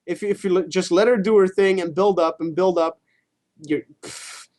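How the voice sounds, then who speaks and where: A man co-hosting a gaming show, chatting casually in a halting way, repeating words and swearing freely. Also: high voice, fairly even intonation, a little mellow.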